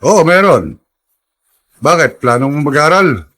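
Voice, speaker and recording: A man's voice: on the high side, very animated, unhurried, sharp tone, no room sound, heavily acted. An actor playing a kindly, middle-aged gas dealer, fatherly and encouraging, in a radio drama. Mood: warm, encouraging.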